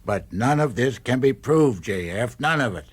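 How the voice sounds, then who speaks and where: An actor playing an anxious party fixer in a radio drama, with a husky voice and a hesitant, pleading, cajoling manner. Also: male voice, medium pitch, lively intonation, normal speed, dry recording.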